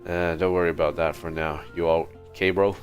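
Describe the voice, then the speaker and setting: A man's voice: low-pitched, little pitch movement, brisk, soft background music. A man streaming a video game, giving casual commentary in short, muttered asides to himself. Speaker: game livestreamer.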